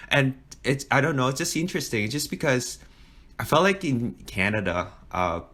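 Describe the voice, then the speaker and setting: A man's voice: mid-range voice, lively intonation, moderate pace, bright, forceful tone. A man in his twenties from Hong Kong, chatting on a podcast in a rambling way, full of "like" and "right?". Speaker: podcast co-host. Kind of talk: casual podcast chat.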